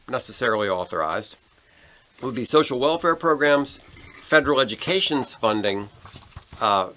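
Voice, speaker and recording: A man's voice: on the low side, a bit bright. An American man co-hosting a podcast, explaining and discussing politics in a casual, conversational way. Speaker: podcast co-host.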